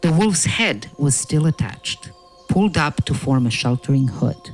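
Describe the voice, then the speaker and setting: A man narrating a fairy tale from a script in a measured, dramatic, deliberate way. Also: on the high side, expressive, soft background music.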